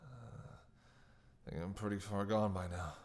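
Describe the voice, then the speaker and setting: A man playing a starving captive vampire in an audio roleplay, halting and breathy, weak, wry and desperate. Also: fairly low pitch, darkish tone, lightly acted. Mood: dazed, wry. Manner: halting, wry, intimate address to listener. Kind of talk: dramatic audio roleplay monologue.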